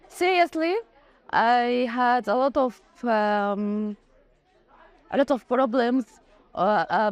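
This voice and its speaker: A woman whose first language is Arabic, speaking halting, limited English in an interview and searching for words. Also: medium pitch, a little mellow, clear, resonant tone.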